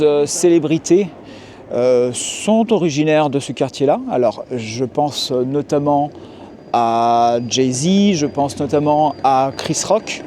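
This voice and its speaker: Homme français calme, voix chaude. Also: male voice, a little high, a little hiss or hum. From France. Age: 40s. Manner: calm, explanatory, frequent hesitations. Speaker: YouTuber and tour guide. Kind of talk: casual to-camera vlog. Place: city street outdoors.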